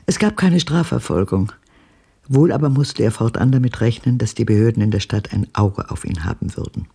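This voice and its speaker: An actress playing an embittered old woman who recalls her past with dramatic intensity in a radio drama, her voice husky and gravelly. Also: a bass voice, lively intonation, normal speed, warm tone, exaggerated voice. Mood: brooding, haunted. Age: older.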